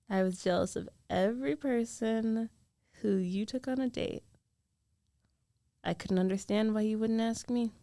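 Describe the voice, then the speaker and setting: A woman acts out a young female roommate in love, jealous and guarded but tender underneath. Her voice has a noticeable creaky fry and sits very close and dry. She speaks in an intimate, hesitant girlfriend ASMR style, full of feeling. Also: mid-range voice, mellow, no room sound, lightly acted. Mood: playful, confessing. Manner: hesitant, emotional, intimate delivery with trailing pauses.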